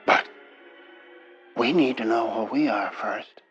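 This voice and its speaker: An actor playing a menacing, grandiose politician in a 1950s-set audio drama, speaking oratorically and emphatically through a telephone filter. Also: male voice, mid-range voice, slow pace, fairly bright tone, highs cut off, soft background music. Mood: impassioned. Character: menacing, grandiose male politician scheming for power.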